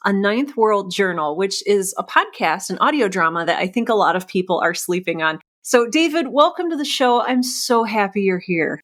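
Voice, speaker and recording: A woman's voice: medium pitch, animated, moderate pace, few pauses, a bit bright. A woman hosting an interview, speaking in an effusive, encouraging way to her guest. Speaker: podcast host and interviewer. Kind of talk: interview conversation.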